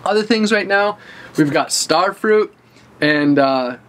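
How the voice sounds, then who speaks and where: A man's voice: high-pitched, expressive, takes it slowly, a bit bright. A man in his thirties giving an enthusiastic, casual walk-through of his garden in a vlog, talking as he moves and eats. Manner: enthusiastic, gestures while talking and eating. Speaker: vlogger showing his garden. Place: outdoor urban garden, then indoors by a bookshelf. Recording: outdoor traffic noise in background.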